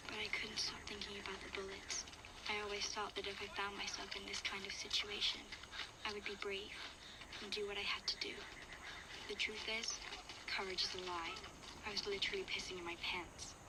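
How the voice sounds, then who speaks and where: A woman plays a young girl survivor telling her story in a game audio log. Her delivery is even and restrained, confessional and earnest, under loud background ambience. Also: mid-range voice, moderate pace, pauses often, heavy background noise, natural voice. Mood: frightened, confessional. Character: young girl survivor recounting danger, frightened but reflective. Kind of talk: first-person dramatic audio log narration.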